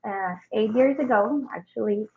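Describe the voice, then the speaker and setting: A woman's voice: mid-range voice, moderate pace. A young adult woman from Colombia, a native Spanish speaker with a Colombian Spanish accent, chatting as a musician guest on a video call with many ums and you-knows. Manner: warm, chatty, fills with um and you know. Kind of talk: casual interview answers. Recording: dull lo-fi video call audio.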